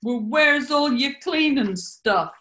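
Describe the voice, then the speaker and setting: A woman playing a courteous but shrewd male gangster henchman in a radio comedy, with deliberate tough talk. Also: high-pitched, animated, bright, forceful tone, echoey room, exaggerated voice. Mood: suspicious. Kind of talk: 1940s radio comedy acting.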